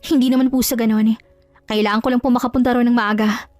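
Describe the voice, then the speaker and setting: A woman playing a proud young woman in a love story, courteous but firm and quick to stand up for herself. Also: fairly high pitch, flat delivery, darkish tone, no room sound, soft background music, close to an everyday voice. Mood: polite, pleading. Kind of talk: dramatized audio love story.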